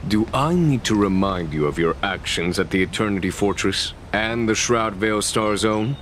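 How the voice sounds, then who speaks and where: A man's voice: on the low side, normal speed, a bit bright, slight background noise, natural voice. A man voicing a composed, shrewd general in a video game, speaking formally with dry authority. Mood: dry teasing.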